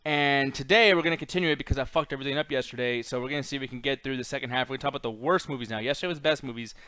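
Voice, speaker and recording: A man's voice: medium pitch, racing, bright, forceful tone, clean tone, no room sound. A man hosting a casual chat show, rambling and swearing as he argues. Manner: rambling, profane, argumentative. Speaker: show host. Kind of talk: casual chat, bantering argument.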